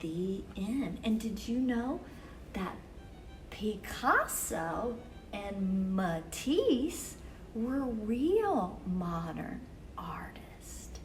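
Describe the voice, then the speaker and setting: A woman hosting a children's story time, reading picture books aloud expressively with measured, deliberate phrasing for young listeners. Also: medium pitch, big pitch swings, music in the background, poor audio.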